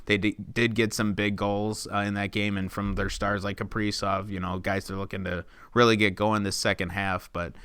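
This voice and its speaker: A young man with a low, smooth, resonant voice co-hosts a casual podcast. He talks steadily and at length, with filler words here and there. The recording is dry and close, with no room sound. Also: level tone, moderate pace, hardly any pauses, a bit bright, dry recording. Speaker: podcast co-host. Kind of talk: casual chat.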